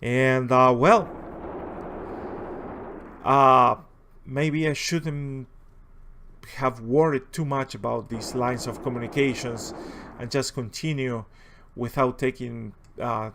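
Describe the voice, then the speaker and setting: A man with a heavy Spanish accent, a native Spanish speaker, giving casual, unscripted commentary as a video host in a hesitant, rambling, halting way. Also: medium pitch, fairly even intonation, fairly bright tone, slight background noise. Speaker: video host, war-gaming enthusiast.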